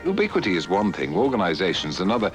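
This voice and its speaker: A man on old radio reading a scripted humorous piece in a wry, drawn-out way. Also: low-pitched, huge pitch swings, over loud music. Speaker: radio presenter.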